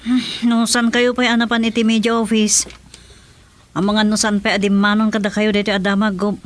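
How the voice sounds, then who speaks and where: A woman with an Ilocano accent playing a brisk, businesslike aide giving reports in a radio drama. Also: mid-range voice, level tone, slight background noise, close to an everyday voice. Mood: concerned, informing.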